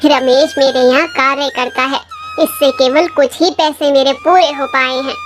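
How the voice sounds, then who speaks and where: A stern, scornful rich moneylender from a children's moral tale, acted out by the narrator. He scolds dismissively in an oddly high, youthful voice, with soft music behind. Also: steady tone, normal speed. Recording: music under the voice.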